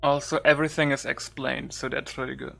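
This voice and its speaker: A man's voice: medium pitch, little pitch movement, brisk, creaky, pushed and bright. A man doing loose, informal game commentary as a YouTuber, thinking aloud without a script. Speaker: gaming YouTuber showing a modded game. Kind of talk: casual unscripted game commentary.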